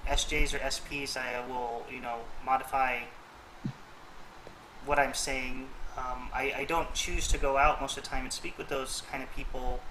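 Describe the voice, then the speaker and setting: A man's voice: mid-range voice, bright, forceful tone, a little hiss or hum, low-quality recording. A man hosting a casual chat, thoughtful and rambling, with plenty of fillers. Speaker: host.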